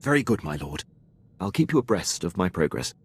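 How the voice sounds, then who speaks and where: An actor playing a dutiful Imperial military officer in a video game, speaking with precise, deferential formality. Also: male voice, deep voice, big pitch swings, a little fast, husky, full character voice. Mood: proud, resolute. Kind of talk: scripted video game dialogue.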